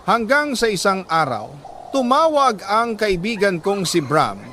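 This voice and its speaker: A man reading a listener's love letter as a radio storyteller, in sentimental dramatic narration with deliberate pauses. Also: high voice, very animated, pushed and bright, a little hiss or hum.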